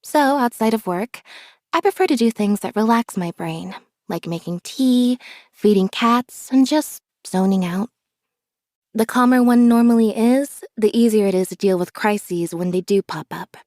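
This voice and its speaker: A woman voicing a reserved, observant young magician's assistant in a video game, speaking in a calm, measured deadpan. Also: mid-range voice, dry recording, clean studio sound, lightly acted. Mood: calm, detached. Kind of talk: scripted character voice lines.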